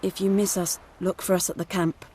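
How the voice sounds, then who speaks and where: A woman's voice: fairly low pitch, little pitch movement, fairly quick, darkish tone, slight background noise, close to an everyday voice. An actress voicing an earnest, protective woman ally in a video game. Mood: earnest, concerned. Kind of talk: dramatic video game voice acting.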